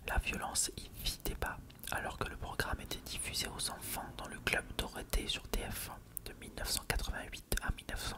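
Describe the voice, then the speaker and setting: An ASMR host, speaking in a soft, breathy, unvoiced whisper with careful articulation.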